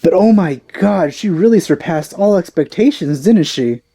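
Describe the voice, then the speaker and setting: A man's voice: sits high, lively intonation, normal speed, mellow. A man giving casual, informal, rambling gameplay reactions as a let's play host, gushing as he talks.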